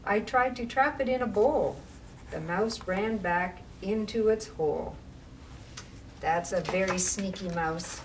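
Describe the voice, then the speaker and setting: A woman reading to young children as a library storytime reader, with measured, expressive phrasing and casual asides. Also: medium pitch. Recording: distant mic with faint background noise.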